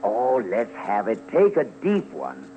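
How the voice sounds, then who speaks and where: A man's voice: mid-range voice, wildly swooping pitch, takes it slowly, very noisy. A man delivering dramatic, scripted radio documentary commentary, theatrical and playful, addressing listeners directly. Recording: dull vintage radio, loud music behind.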